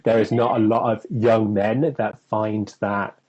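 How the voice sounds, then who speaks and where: A husky, fairly low-voiced young man from England rambles through a casual live chat, leaning on filler words and laughing easily. sic: no mid-sentence commas needed His voice has a slightly rough edge. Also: steady tone, measured pace, darkish tone, highs cut off. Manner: chatty, rambling, laughs often, many "kind of" fillers. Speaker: co-host.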